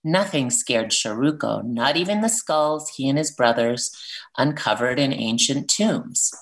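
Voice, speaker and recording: Very low-voiced woman author. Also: animated, measured pace, poor audio. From Peruvian family, living in Arizona. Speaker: children's book author. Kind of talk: interview and book reading.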